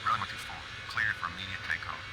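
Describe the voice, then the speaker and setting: A man's voice: sits low, quick pace. A man as a formal, businesslike airline pilot in a simulator game, giving scripted readbacks over aircraft radio. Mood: brisk, formal. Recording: noisy cockpit radio transmission.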